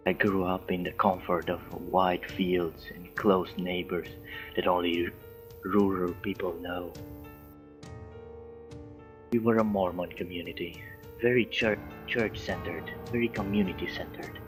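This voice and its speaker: Low-voiced man reading haltingly over music. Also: steady tone. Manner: halting, stumbling over words. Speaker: story reader. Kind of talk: amateur read-aloud of a horror story with casual asides. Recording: music behind the voice. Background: background music.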